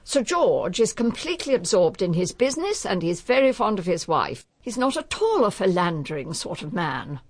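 A woman's voice: mid-range voice, very animated, normal speed, few pauses, dry recording. A woman playing a genteel, guarded elderly country lady in a radio drama, speaking with emphatic propriety. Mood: composed, genteel. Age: older.

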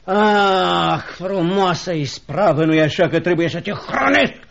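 Radio play villain: jovially cruel, greedy schoolmaster, high voice, sneering and hectoring, muffled hissy recording. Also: male voice, vocal fry, fairly bright tone. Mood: scornful, bullying. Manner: sneering, chuckling, falsely hearty, hectoring. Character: cruel, greedy, jovially bullying schoolmaster, middle-aged man. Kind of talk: theatrical Romanian radio drama.

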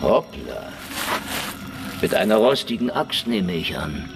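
A man's voice: deep voice, sing-song, slow, vocal fry, over music, exaggerated voice. A man playing a sarcastic, defiant prisoner in a German radio play, with a mocking, flippant delivery. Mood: sardonic. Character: sarcastic, defiant male prisoner in a Moscow cell.

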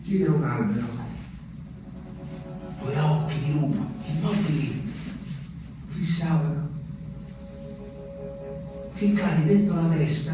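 An actor playing an anguished, simple Italian peasant man, telling his memories in a halting, urgent stage monologue. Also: on the high side. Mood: haunted. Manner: halting, repetitive, urgent storytelling. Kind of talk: theatrical monologue. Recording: echoey room, distant mic, faint noise.

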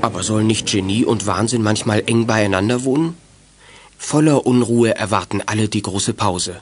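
A man's voice: on the low side, moderate pace, noisy background, natural voice. A man narrating a youth detective radio play as a scripted storyteller. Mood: storytelling.

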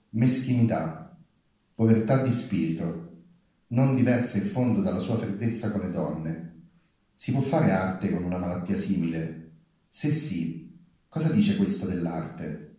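Male reader for literary prose, low and dark in tone, in a heavily reverberant hall. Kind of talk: reading literary prose aloud. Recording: very echoey live hall.

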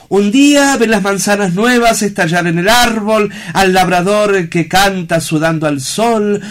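A man plays an earnest sanatorium director in a radio drama. His slightly high voice is solemn and eloquent, and he declaims like a lyrical storyteller. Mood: fervent, lyrical. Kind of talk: theatrical radio play acting.

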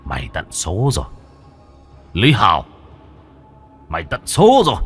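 A man's voice: low voice, huge pitch swings, a little slow, a bit bright, husky, faint music behind, exaggerated voice. A man voicing a vengeful, murderous son in a horror story, hissing and taunting with eerie menace. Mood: gleeful menace. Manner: taunting, threatening.

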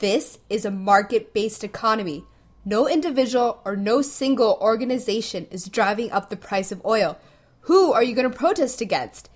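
An actress playing a level-headed young adult daughter who calmly reasons and lectures her father in an audio play. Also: on the high side, very slow. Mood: reasoning.

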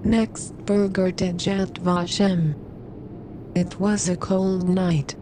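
A woman's voice: lowish voice, flat delivery, very slow, background noise. A woman voicing an impassive car navigation assistant with clipped, mechanical phrasing in a radio drama.

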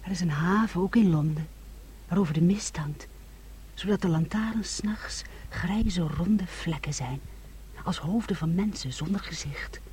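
An actress in a Dutch radio play playing a moody, brooding young woman with a very breathy, husky voice. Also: fairly low pitch, measured pace, darkish tone. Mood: hushed, haunting. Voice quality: very breathy, husky, often near whisper. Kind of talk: Dutch radio drama acting.

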